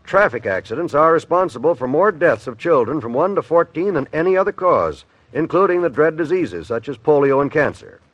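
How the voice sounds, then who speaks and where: A male radio announcer reading a scripted public safety announcement in an earnest, measured manner. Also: a little high, animated, no room sound. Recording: dull old radio recording.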